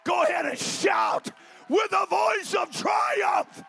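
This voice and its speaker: High, gravelly man preaching at full shout. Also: expressive, slow pace, faint music behind, some chatter behind. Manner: shouted exhortation, urging the congregation to praise. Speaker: pastor. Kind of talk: fiery Pentecostal sermon. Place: church sanctuary.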